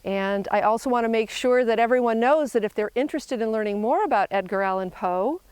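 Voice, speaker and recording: A woman's voice: fairly high pitch, brisk, a little mellow, smooth, dry recording. A woman hosting a library program, giving thanks and announcements. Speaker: host of a public library program.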